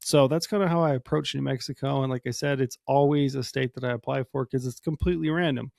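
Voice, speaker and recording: A man with a hoarse voice from a cold, hosting a solo podcast and explaining things in a casual, rambling way with many fillers. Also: medium pitch, steady tone, quick pace, a little mellow, no room sound, studio quality. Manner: casual, explanatory, many ums and you-knows. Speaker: podcast host.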